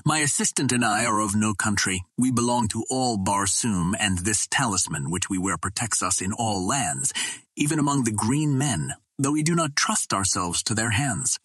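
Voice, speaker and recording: Old Martian host for an audiobook, played by a male narrator: polite, formal, secretly treacherous, husky and dry-sounding. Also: on the low side, animated, normal speed, fairly bright tone, no echo. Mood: guarded advice. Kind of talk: audiobook character dialogue.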